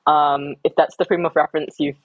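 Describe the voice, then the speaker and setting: A woman's voice: sits low, level tone, brisk, dry recording. A woman from Singapore speaking as a researcher guest in a podcast interview, reflective and academic, with frequent ums and restarts. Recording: slightly dull, dry recording.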